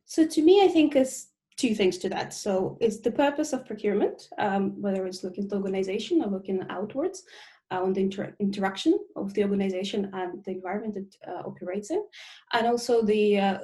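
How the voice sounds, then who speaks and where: Articulate woman from Russia, mellow tone. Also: mid-range voice, expressive, fairly quick. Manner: fluent and articulate, with frequent "um" fillers. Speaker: guest, procurement and sustainability consultant. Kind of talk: podcast interview answers.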